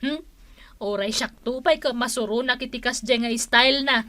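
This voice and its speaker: A woman's voice: on the high side, moderate pace, pushed and bright, dry recording. A woman with an Ilocano accent playing an urgent, needling villager in a radio drama.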